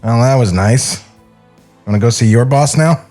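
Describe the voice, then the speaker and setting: A man's voice: mid-range voice, normal speed, warm tone, soft background music, close to an everyday voice. A man playing a mild, conciliatory 1930s man in a screenplay table read, with understated delivery. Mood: gentle, resigned. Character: mild, placating 1930s man at an awkward dinner.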